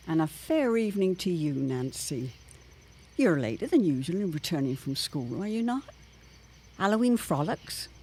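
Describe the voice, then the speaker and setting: A woman's voice: fairly low pitch, sing-song, moderate pace, a little mellow, slightly rough, full character voice. A woman playing an anxious, eloquent neighbourhood matron in a period radio drama, speaking in formal, theatrical phrases. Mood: arch, probing. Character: Jane Pembroke, anxious, eloquent older neighbourhood matron with premonitions.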